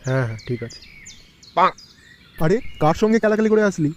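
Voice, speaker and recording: A man's voice: a little high, sing-song, a little fast, darkish tone, slight background noise. A man playing a fussy, scolding middle-aged father in a comic cartoon, bossy and slangy. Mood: reassuring.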